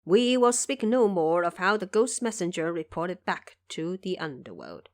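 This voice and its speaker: A woman's voice: lowish voice, sing-song, normal speed. A woman giving scripted storytelling narration for an audio drama.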